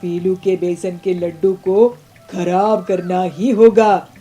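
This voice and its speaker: A woman voicing a greedy, jealous villain bird in a children's cartoon, drawn-out and menacing. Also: fairly low pitch, little pitch movement, dark tone, soft background music. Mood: jealous, scheming.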